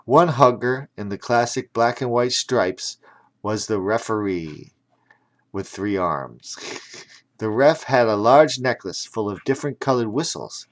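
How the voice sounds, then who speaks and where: Slow-paced male children's storyteller. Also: medium pitch, expressive. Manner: theatrical, breaks off for explanatory asides. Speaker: author and audiobook narrator. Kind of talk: animated children's storytelling with chatty asides.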